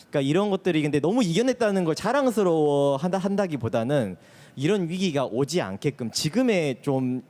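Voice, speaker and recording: A man's voice: mid-range voice, warm tone. A man who is a schoolteacher, speaking earnestly with a Gwangju regional accent as a guest at a live talk concert. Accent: Gwangju regional dialect. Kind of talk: stage talk. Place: stage before a live audience.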